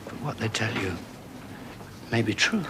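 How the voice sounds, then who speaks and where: A haunted, halting young son. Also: male voice, on the low side, animated, background noise. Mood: troubled. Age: young adult. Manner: halting, hesitant, earnest. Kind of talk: radio drama acting.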